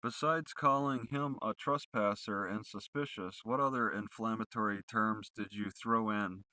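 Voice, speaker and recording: Man, low-pitched, bright forceful tone, accusatory leading questions, cross-examination style, close dry sound. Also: moderate pace, no echo.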